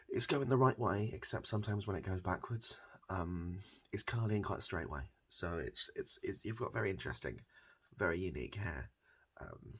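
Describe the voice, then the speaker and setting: Deep, gravelly, soft-spoken deadpan man. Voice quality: gravelly, husky low voice. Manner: rambling, deadpan, halting and repetitive. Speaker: ASMR comedy performer. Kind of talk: improvised softly spoken ASMR comedy roleplay as a hairdresser.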